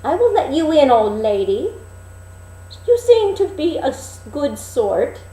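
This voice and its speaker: A woman voicing a naive, trusting young storybook maiden in a high, polite, innocent voice. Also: sing-song, a little slow, dark tone, echoey room. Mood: friendly, trusting.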